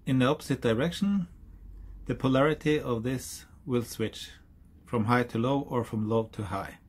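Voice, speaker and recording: A man in his forties from Norway, speaking English with a Norwegian accent, explaining a hobby project in a halting, self-correcting way. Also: mid-range voice, breathy edge. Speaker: hobbyist YouTuber. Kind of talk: casual DIY explainer. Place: outdoors on a hilltop, then indoors at a workbench.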